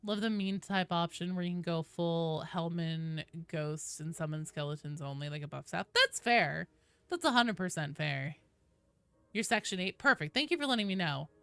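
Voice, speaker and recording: A woman's voice: on the low side, animated, moderate pace, pushed and bright, clear, resonant tone, dry recording. A woman chatting casually and expressively with viewers as she hosts a gaming livestream. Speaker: game streamer and host.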